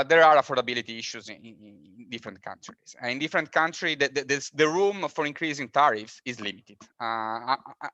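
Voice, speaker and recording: A man whose first language is not English, speaking on a webinar panel with halting, repetitive phrasing. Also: a little high, pushed and bright, dry recording, lo-fi sound. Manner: halting, repeats words, non-native phrasing. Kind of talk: online panel discussion. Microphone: video call.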